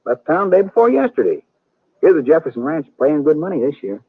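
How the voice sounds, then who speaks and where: An actor playing a talkative, friendly small-town blacksmith in an old radio western, with a husky voice and a rural Western American drawl. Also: male voice, a little high, big pitch swings, brisk, no echo, heavily acted. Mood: gossipy. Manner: folksy, garrulous, drawling. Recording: dry, muffled old radio recording.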